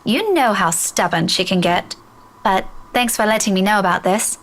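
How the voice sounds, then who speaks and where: A woman's voice: medium pitch, animated, normal speed, fairly bright tone, poor audio. An actress playing a caring but firm young woman teacher in a visual novel game, composed in her delivery. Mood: hesitant, friendly. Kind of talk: game voice acting.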